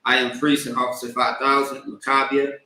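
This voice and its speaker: Bright-voiced man preaching in an echoey room. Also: medium pitch, flat delivery, a little fast. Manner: repeatedly checks in with "all right?" and "okay?". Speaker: priest leading an online Sabbath service. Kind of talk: religious teaching, live-streamed sermon.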